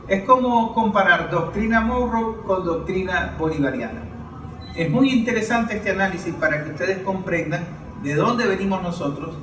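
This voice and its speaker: A Venezuelan man hosting and introducing a political round-table discussion. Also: high voice. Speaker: host and moderator. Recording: roomy, noisy, distant microphone.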